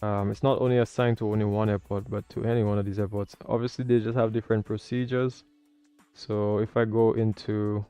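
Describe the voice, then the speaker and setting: A man with a low, very mellow voice hosts a casual tutorial livestream. His tone is smooth and close, with little room sound. The audio is a bit dull, with faint music behind him. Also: no room sound. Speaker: livestream host learning air traffic control.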